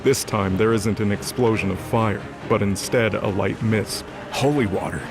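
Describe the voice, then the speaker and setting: A male narrator reads a scripted audio drama. His voice sits low and the delivery is dramatic, with music playing clearly beneath. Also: moderate pace.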